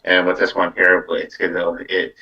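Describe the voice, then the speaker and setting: A man's voice: deep voice, monotone, normal speed, gravelly, sharp tone, dull audio. A man hosting a live psychic card reading in casual, rambling talk, often repeating words and tagging phrases with "though". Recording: distant from the microphone.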